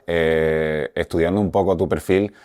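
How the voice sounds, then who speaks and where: A man's voice: low voice, steady tone, a little slow, darkish tone, smooth, no echo, clean studio sound. A man interviewing a guest, asking probing questions with some hesitation. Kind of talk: conversational interview.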